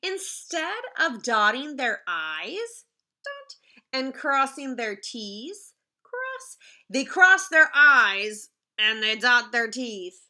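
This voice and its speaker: Bright, high-pitched woman reading to children. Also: very slow. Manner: expressive, explains words simply to children. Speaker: teacher reading a picture book aloud to students. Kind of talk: animated children's read-aloud with asides to the listeners.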